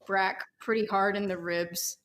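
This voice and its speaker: A woman's voice: mid-range voice, fairly even intonation, measured pace, a bit bright. A woman improvising narration of her character's actions in a tabletop roleplaying game.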